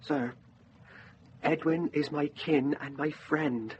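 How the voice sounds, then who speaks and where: A young actor playing a loyal, plucky youth held prisoner in a radio drama. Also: male voice, fairly high pitch, fairly even intonation, slow, muffled recording, slight background noise. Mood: respectful, resolute. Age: young adult.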